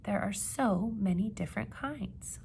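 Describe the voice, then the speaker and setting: A woman reading a children's picture book aloud like a teacher, with expressive emphasis and questions put to young listeners. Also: mid-range voice, gravelly, a little hiss or hum. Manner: expressive, dramatic gasps and emphasis, pausing to ask questions.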